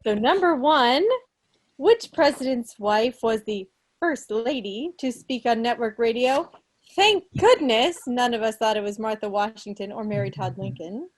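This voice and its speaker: An American woman in her thirties with a fairly high voice presents a quiz in an unhurried way. Her delivery is casual and playful, teasing like a schoolteacher, picked up on a computer mic at home. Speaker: meeting host, quizmaster. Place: living room at home, on a video call. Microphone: computer mic on a video call.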